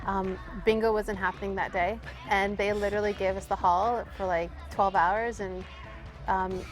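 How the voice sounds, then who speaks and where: A woman's voice: mid-range voice, fairly even intonation, moderate pace, soft background music. A woman with a Canadian accent chatting informally as an interview guest, peppering her talk with "like". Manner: informal, says "like" often. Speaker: guest musician. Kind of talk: casual interview chat.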